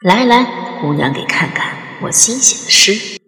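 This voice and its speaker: A woman's voice: medium pitch, animated, measured pace, sharp tone, reverberant, in a large hall. A woman voicing a jovial, playful middle-aged father who likes to boast, in an audio drama. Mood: cheerful, coaxing. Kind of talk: audio drama character dialogue.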